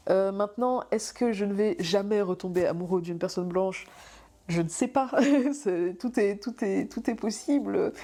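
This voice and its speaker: A young woman giving a personal testimony, halting and thoughtful, with many fillers. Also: medium pitch, warm tone. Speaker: interviewee.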